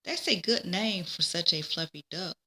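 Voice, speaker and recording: Woman reading as a bright-voiced, kindly duck keeper man. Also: on the low side, moderate pace. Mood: kindly, instructive. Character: kindly man who raises ducks, giving advice. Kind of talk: children's storybook character voice.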